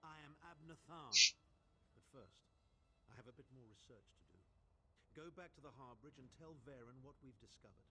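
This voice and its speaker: An actor playing a self-assured scholarly mage in a fantasy video game, with a haughty, declamatory delivery. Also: male voice, medium pitch, expressive, normal speed, fairly bright tone, lightly acted. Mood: haughty, brisk. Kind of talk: dramatic fantasy game acting. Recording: faint background noise.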